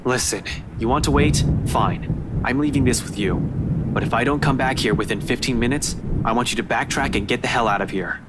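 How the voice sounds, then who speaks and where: A stern young police detective growls orders in a deep, low voice, as a woman puts him on. He is blunt and commanding, and a steady hiss of noise sits under the recording. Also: fairly quick. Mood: firm.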